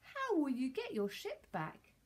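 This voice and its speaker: A woman's voice: sits high, huge pitch swings, a little slow, darkish tone, voice pushed up, full character voice. A woman voicing a brave, eager young boy pirate in a children's storybook. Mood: curious, friendly. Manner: bold, exclamatory.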